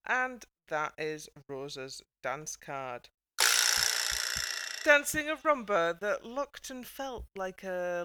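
A woman's voice: mid-range voice, sharp tone, smooth, dry recording, poor audio. A woman hosting a casual panel chat in a chatty, wry manner. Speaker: podcast host.

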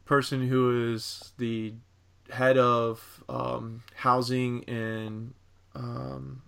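Man with a creaky, gravelly voice, podcast host. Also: medium pitch, level tone, extremely slow, a bit bright. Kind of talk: casual TV discussion.

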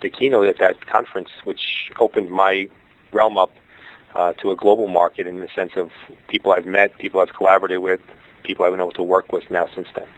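A man's voice: deep voice, flat delivery, brisk, muffled recording. A middle-aged man with a Brooklyn accent, a school founder answering interview questions in a rambling way, with many fillers and restarts. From Brooklyn, New York. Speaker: guest, charter school founder.

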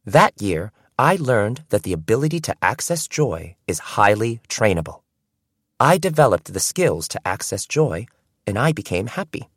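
A man reading a first-person audiobook in an expressive, storytelling way. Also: lowish voice, moderate pace, dry recording, clean studio sound. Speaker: audiobook narrator.

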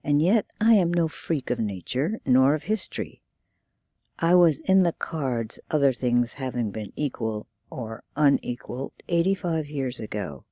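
A woman's voice: a bass voice, warm tone, dry recording, clean studio sound. An American woman giving a dramatic reading of literary prose for radio.

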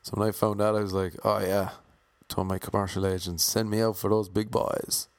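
Wry young Irishman, low dry voice. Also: level tone, normal speed, no room sound. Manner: wry, self-mocking, playfully imitating others. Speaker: actor telling about auditions. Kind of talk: casual storytelling monologue.

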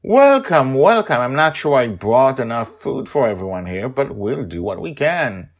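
A man's voice: mid-range voice, sing-song, moderate pace, pitched above the performer's own voice. A man voicing a cheerful, starstruck mother who gushes and stumbles in effusive exclamations. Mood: bright, welcoming. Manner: effusive, breathless exclamations and hesitations. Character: gushing starstruck woman, cheerful and flustered mother.

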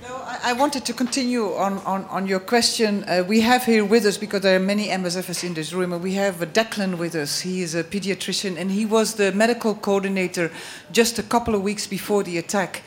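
A man moderates a panel in a friendly, conversational way. His voice is high for a man, with faint room ambience behind it. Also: a little fast, slight background noise.

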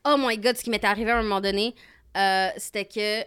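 A woman's voice: medium pitch, quick pace, clean tone. A woman in her thirties, born in Morocco with Arabic as her first language, speaking Quebec French and telling candid stories with a lot of "comme" in a casual chat. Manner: candid, animated storytelling with frequent "comme" and laughter. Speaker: podcast guest.

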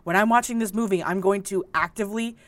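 A young woman co-hosting a podcast, talking enthusiastically and haltingly, with many restarts and laughs. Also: medium pitch, no room sound. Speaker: podcast co-host. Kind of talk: casual podcast chat.

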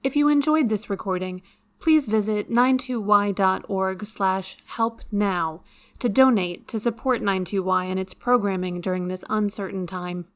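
A woman with a medium-pitched voice reads a scripted podcast outro. She delivers it as an announcer would, in prepared, orderly sentences. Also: a little slow. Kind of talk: scripted narration, closing credits.

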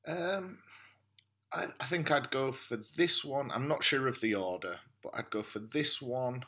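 A man's voice: medium pitch, a little slow, sharp tone. A man chatting casually as a guest on a podcast. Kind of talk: casual chat.

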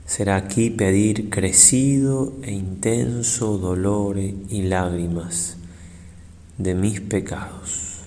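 Male priest for guided meditation: low, even delivery, reverent and unhurried, long pauses, faint noise behind. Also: in a large hall. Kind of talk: guided prayer meditation, slow and solemn.

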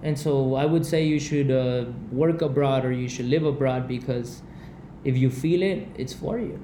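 A man's voice: medium pitch, moderate pace, dark tone. A man from Texas, a guest in a casual interview, telling a story in an earnest and reflective way. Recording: echoey room with faint background noise.

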